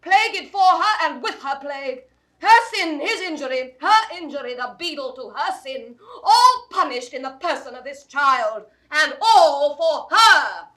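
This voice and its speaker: An embittered mother defending a child in a classical stage drama. The voice is high, sharp and forceful, full of mockery and accusation. The accent is old theatrical British, with impassioned declamation. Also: animated, unhurried, exaggerated voice. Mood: bitter accusation. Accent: British English, old theatrical received pronunciation. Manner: biting, mocking, impassioned declamation. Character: bitter, fiercely protective mother, scornful and accusing. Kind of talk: mid-century classical Shakespearean stage acting.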